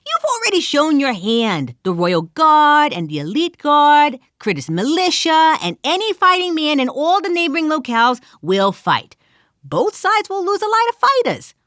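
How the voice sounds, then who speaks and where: A woman's voice: high voice, huge pitch swings, moderate pace, fairly bright tone, no echo, clean studio sound, pitched above the performer's own voice, exaggerated voice. A woman voicing an earnest adventurer who gives a grand, formal plea for peace.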